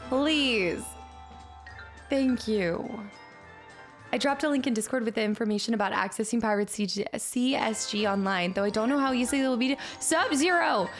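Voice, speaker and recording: A woman streamer chatting casually with her viewers and thanking them, upbeat, her voice a little raspy today. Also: on the high side, normal speed, faint music behind. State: slightly raspy voice. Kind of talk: casual stream chat reading chat. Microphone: streaming mic.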